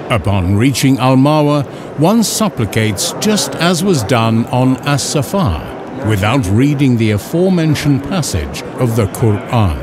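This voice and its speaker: A man reading scripted instructional narration in a measured, formal, carefully enunciated way. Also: mid-range voice, noisy background. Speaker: narrator of an educational film.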